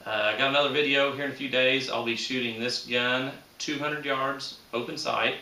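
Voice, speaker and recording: A man's voice: medium pitch, steady tone, moderate pace, pushed and bright. A man in his thirties chatting casually and unscripted to viewers as a channel host, with a folksy manner and rural, colloquial grammar. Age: 30s. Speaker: host of a shooting hobby channel. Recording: roomy echo, distant microphone.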